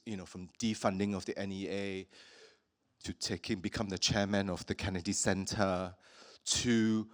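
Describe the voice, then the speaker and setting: A man from Singapore hosting a public talk with an artist, speaking thoughtfully with many pauses and fillers. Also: fairly low pitch, fairly bright tone. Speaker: host.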